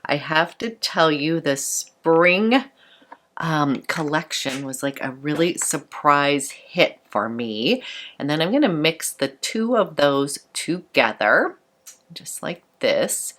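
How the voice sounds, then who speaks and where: A woman beauty vlogger chatting brightly through a makeup tutorial, a little congested from a cold. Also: deep voice, lively intonation, slow. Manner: bubbly and chatty, fond of "a little".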